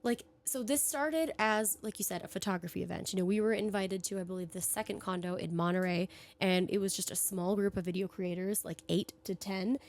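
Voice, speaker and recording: A woman's voice: mid-range voice, a little fast. A young woman co-hosting a podcast in casual chat, her voice worn from days of talking, recorded on a studio microphone at an event venue. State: losing her voice. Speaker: podcast co-host.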